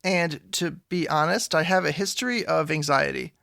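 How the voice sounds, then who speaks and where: An anxious young man in an audio drama, with noticeable vocal fry. He rambles sheepishly and slips in deadpan jokes, recorded close and dry. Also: a little high, moderate pace, no echo. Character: anxious, awkward, joking young man seeking help. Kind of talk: audio drama dialogue, conversational.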